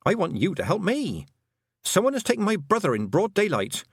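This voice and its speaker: A man voicing a practical, stern young farm girl with a crisp, firm delivery. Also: sits high, huge pitch swings, brisk, dry recording, studio quality, pitched above the performer's own voice, full character voice. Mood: urgent. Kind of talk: audiobook character voice.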